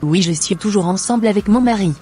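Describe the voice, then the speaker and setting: Man acting high-voiced earnest churchwoman. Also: fast talker, a little mellow, a little hiss or hum, lightly acted. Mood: guilty, pleading. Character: devout, soft-spoken married churchwoman, humble and earnest.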